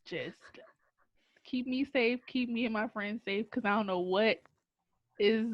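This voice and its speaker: A young woman co-hosting a casual podcast, recounting a trip in a flat, unimpressed, deadpan manner. Her voice sits a little high and comes through muffled and dull. The sound is close and dry, with no room around it. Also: a little slow, no echo. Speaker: podcast co-host. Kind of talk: casual storytelling chat.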